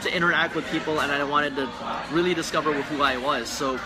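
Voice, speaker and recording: A man's voice: fairly high pitch, steady tone, brisk, crowd noise, rough recording. A young man with a Filipino accent, a guest in a casual livestream chat, talking earnestly and rambling with many restarts. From Philippines. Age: young adult. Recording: background music.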